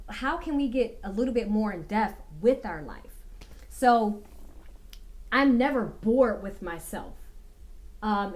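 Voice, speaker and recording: A woman vlogger talking to her viewers about getting a full life, slow and reflective with many fillers, a little raspy from a cold. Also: mid-range voice, darkish tone. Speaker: vlogger giving life advice. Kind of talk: casual talking-to-camera advice.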